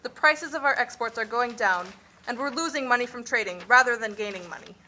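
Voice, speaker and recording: A woman's voice: fairly high pitch, fairly quick, pushed and bright, slight background noise, low-quality recording. A woman playing an earnest Nicaraguan who explains her country's hardship in a scripted puppet show.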